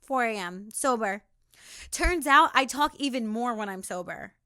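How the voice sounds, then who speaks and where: A young woman with a raspy, high and bright voice delivers an emphatic motivational monologue straight to the listener. She hosts her own podcast and sounds preachy and intense. The recording is dry and close. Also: expressive, a little slow, no echo. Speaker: podcast host.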